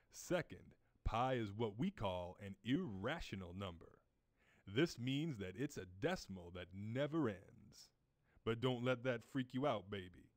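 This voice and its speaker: A man's voice: lowish voice, dry recording. A man playing a groovy disco chef who gives scripted, playful lessons in hip slang for an educational game. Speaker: game host.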